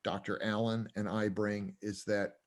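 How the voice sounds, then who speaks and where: A man's voice: fairly low pitch, level tone, unhurried. An older man talking casually in an interview, with many fillers and restarts, chuckling as he goes. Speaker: co-owner and professor.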